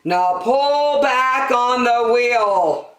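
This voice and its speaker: A woman voicing a stern, exasperated airport radio controller in a storybook, speaking in a commanding, deliberate way. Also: a little high, lively intonation, fairly bright tone, roomy sound, voice pushed up, exaggerated voice. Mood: instructing.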